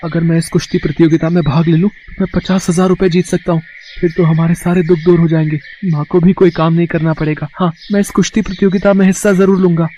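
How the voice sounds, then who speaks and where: A man's voice: fairly high pitch, little pitch movement, quick pace, mellow, a little hiss or hum, close to an everyday voice. A man playing an earnest, defiant, devoted village son in a moral story. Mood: determined. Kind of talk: dramatised Hindi moral story. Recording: dull lo-fi, very dry.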